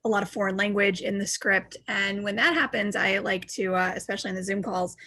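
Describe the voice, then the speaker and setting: A woman's voice: medium pitch, steady tone, brisk, pushed and bright. A woman being interviewed as a director, explaining her working process in casual conversation.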